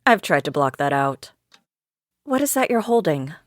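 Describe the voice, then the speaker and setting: An actress playing a calm, patient therapist who probes gently. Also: female voice, low-pitched, moderate pace, dry recording, clean studio sound. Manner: calm and probing.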